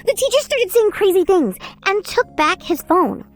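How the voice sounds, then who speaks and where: A woman narrating as an exasperated schoolgirl in a manga dub, lively and a bit sarcastic. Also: very high voice, moderate pace, soft background music. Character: exasperated schoolgirl narrating her class's story.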